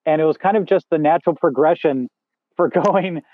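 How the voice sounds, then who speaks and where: Booming-voiced man on a tinny line. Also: brisk, dry recording. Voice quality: big loud booming voice. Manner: rambling, frequent fillers and restarts. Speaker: podcast guest, first assistant director. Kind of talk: casual interview chat. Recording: dry tinny phone-like sound.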